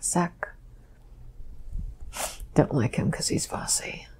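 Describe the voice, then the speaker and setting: A woman recalling events in a slow, halting, hushed whisper as she answers questions. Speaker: session subject answering questions. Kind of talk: slow whispered first-person recollection.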